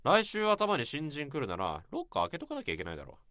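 An actor playing a blunt senior office worker who talks roughly and lectures his juniors, in a radio drama. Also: a little high, wildly swooping pitch, fast, sharp tone, dry recording, exaggerated voice. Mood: brusque. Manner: rough, bossy, lecturing speech. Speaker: senior coworker.

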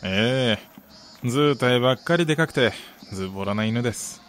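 A man's voice: mid-range voice, expressive, moderate pace, a bit bright, slight background noise, lightly acted. An actor playing an affable man in his forties in a radio drama, easygoing and folksy in his talk. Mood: friendly, easygoing. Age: 40s. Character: affable fortyish man, dog owner and grumbling football fan.

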